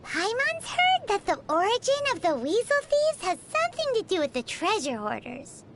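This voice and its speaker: Squeaky, very high-pitched tiny girl companion. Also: wildly swooping pitch, moderate pace, a bit bright, a little hiss or hum, exaggerated voice. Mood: curious, cheeky. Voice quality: squeaky, childlike. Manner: speaks of herself in third person, exclamatory. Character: tiny childlike floating girl companion, gluttonous, excitable. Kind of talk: video game voice acting. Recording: music behind the voice.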